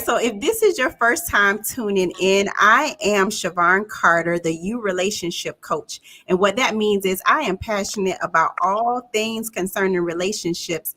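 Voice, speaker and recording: Woman, 40s, relationship coach host; upbeat, laughing, bright tone. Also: medium pitch, normal speed, few pauses. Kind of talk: casual live-stream chat.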